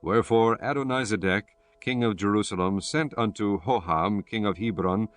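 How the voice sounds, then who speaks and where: A man narrating a scripture audiobook in a solemn, measured and formal way. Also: fairly low pitch, no echo.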